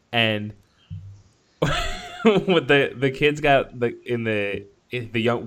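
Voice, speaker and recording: A man co-hosting a podcast, chatting casually about a film with a halting, rambling delivery. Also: mid-range voice, fairly bright tone. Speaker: podcast co-host.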